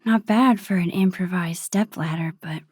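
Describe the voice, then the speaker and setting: Casting: wistful, nerdy young girl for an adventure game; lowish, breathy, musing to herself, understated. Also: normal speed, no room sound. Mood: focused, thinking aloud. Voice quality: breathy, hushed. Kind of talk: video game character monologue.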